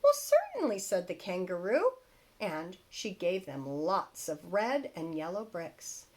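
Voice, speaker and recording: Female teacher-type storyteller: unhurried, highly animated read-aloud for children. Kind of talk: expressive children's storybook narration.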